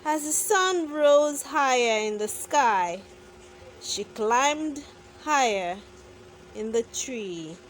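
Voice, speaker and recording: A woman narrating a children's bedtime story with deliberate phrasing suited to very young listeners. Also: high-pitched, lively intonation, a little hiss or hum. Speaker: children's book reader.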